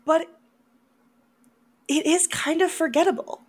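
A woman's voice: extremely high pitch, fairly even intonation, takes it slowly. A woman co-hosting a casual film review chat, often breaking into giggles as she talks. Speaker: podcast co-host.

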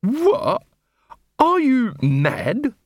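A man's voice: very high-pitched, huge pitch swings, drawn-out words, no room sound, studio quality, pitched above the performer's own voice, exaggerated voice. A man voicing an irascible watch captain in an audiobook, snarling and sputtering with indignant authority. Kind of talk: audiobook character voice.

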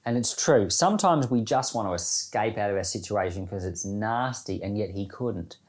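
A man's voice: on the low side, lively intonation, normal speed. A man speaking as a pastor, giving an informal, reflective devotional talk in plain, conversational language. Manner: informal, reflective, plain-spoken, explaining with everyday examples. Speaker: pastor giving Bible podcast commentary and prayer.

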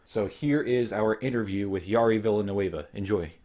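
A man's voice: lowish voice, normal speed, over music. A man hosting a podcast, welcoming his guest and asking friendly, long-winded questions. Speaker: podcast host. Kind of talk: casual interview.

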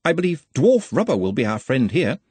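A man plays a bookish, deferential fantasy underling. He speaks precisely and earnestly, explaining things pedantically, with a husky tone and animated rises and falls. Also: medium pitch, brisk, no room sound, pitched above the performer's own voice. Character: erudite, polite, earnest male fantasy servant creature.

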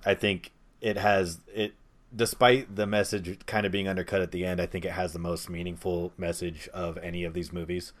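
A man speaking as a podcast co-host in casual, conversational discussion. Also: deep voice. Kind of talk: casual movie-discussion chat.

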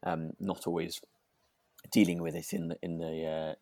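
British man chatting, lowish voice, close dry sound. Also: monotone, normal speed, creaky, darkish tone, no room sound. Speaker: podcast co-host. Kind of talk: casual chat.

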